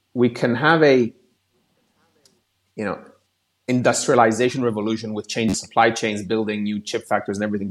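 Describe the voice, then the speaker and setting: A man giving casual, rambling market commentary on a podcast, often restarting his sentences. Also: lowish voice. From outside the US.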